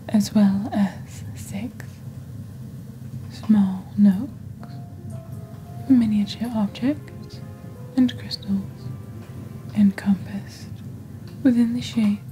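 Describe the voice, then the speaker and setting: Very slow, whispering witchy woman, softly acted. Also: mid-range voice, low-quality recording. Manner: slow, deliberate, hushed storytelling delivery. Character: female witch, eerie, composed seller of cursed wares. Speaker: ASMR host. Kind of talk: soft-spoken whispered ASMR, scripted shopping-channel roleplay. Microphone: close studio microphone on a desk.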